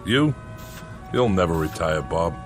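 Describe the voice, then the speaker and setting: A man's voice: low voice, lively intonation, unhurried, soft background music. A man playing an easygoing, conciliatory factory plant manager in a radio drama, talking in a casual, placating way. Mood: placating, wry.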